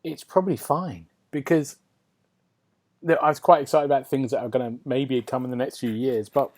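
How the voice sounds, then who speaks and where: A man's voice: medium pitch, fairly even intonation, moderate pace, darkish tone. A man hosting a casual gaming podcast, rambling and thinking aloud, often seeking agreement. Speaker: podcast host.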